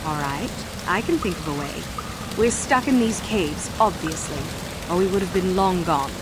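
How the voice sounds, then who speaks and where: An actress playing a young, guarded, earnest runaway student in a video game, over loud ambient noise. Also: female voice, lowish voice, moderate pace, natural voice. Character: wary, defiant young female runaway student. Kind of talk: video game character acting.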